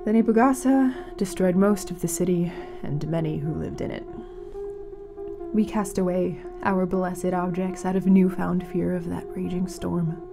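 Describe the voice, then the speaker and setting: A woman playing a grave, mournful radio announcer in a fiction podcast, speaking solemnly and dramatically with weighted pauses. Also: lowish voice, vocal fry, over music. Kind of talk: scripted fiction-podcast narration as a community radio broadcast.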